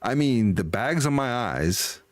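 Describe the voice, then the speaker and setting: Guy in his 40s streaming games, super lively pitch swings, chatty n folksy, dry close sound. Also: a little slow, no echo. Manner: chatty, upbeat, folksy exclamations. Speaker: game livestream host. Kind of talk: casual livestream chat, greeting and answering viewers.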